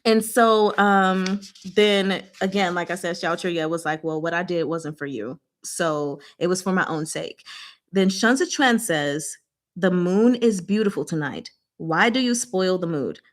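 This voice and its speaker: A woman hosting a casual book discussion, retelling scenes in a giggly, animated, slangy way and acting out the dialogue. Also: on the low side, measured pace.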